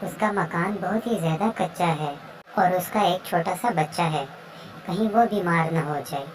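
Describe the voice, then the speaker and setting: A woman's voice: lowish voice, normal speed, slight background noise, lightly acted, rough recording. A woman voicing a kind, worried female pigeon in a children's cartoon story. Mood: worried, pleading.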